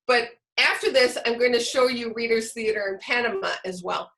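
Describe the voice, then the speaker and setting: A woman giving an informal instructional presentation, haltingly searching for her words. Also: fairly high pitch, fairly bright tone. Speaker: teacher leading a training session.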